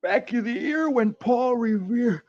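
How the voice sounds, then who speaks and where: A man's voice: extremely high pitch, lively intonation, a little slow, a little mellow, pitched above the performer's own voice, exaggerated voice. A man voicing an earnest, polite young boy adventurer in 1940s radio style. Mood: earnest, boyish. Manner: earnest, boyish. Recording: dull, noisy vintage radio recording.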